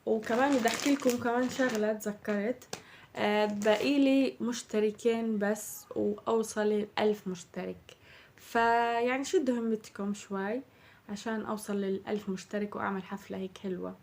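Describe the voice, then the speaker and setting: A young woman with a Palestinian Arabic accent, chatting casually through a shopping haul, her voice slightly hoarse from a cold. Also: medium pitch, steady tone, a little fast, a little mellow. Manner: chatty, addresses viewers as girls. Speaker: vlogger.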